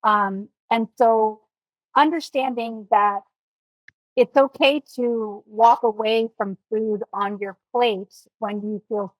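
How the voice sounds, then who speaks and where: Earnest higher-voiced female coach. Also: no echo, low-quality recording. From Miami, United States. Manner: earnest, reflective, speaks with many fillers. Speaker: nutrition coach, guest. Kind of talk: podcast interview.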